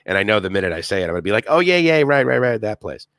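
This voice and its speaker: A man's voice: fairly low pitch, sing-song, racing, fairly bright tone, no room sound, clean studio sound. A man, hosting a casual live stream, rambling with many fillers and reading chat comments aloud.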